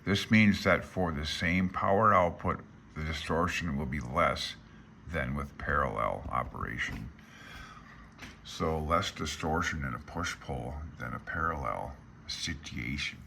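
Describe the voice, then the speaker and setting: A man with a very deep voice that still has a bright, forceful edge. He talks like an informal instructor, alternating between reading aloud and explaining in his own words. His delivery is folksy and offhand, as if thinking out loud. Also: measured pace, vocal fry, a little hiss or hum. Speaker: instructor reading through a radio handbook.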